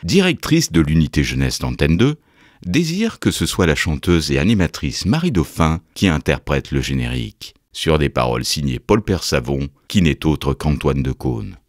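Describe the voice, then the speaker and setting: A man's voice: a bass voice, big pitch swings, normal speed, no room sound, studio quality. A man reading scripted documentary narration as the narrator.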